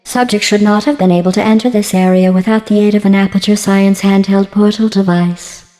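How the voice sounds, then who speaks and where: A woman voicing a passive-aggressive female artificial intelligence in a video game, deadpan and mock-sweet, with synthetic robotic processing. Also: medium pitch, fairly quick, in a large hall, soft background music. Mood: clinical, deadpan. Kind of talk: scripted video game dialogue.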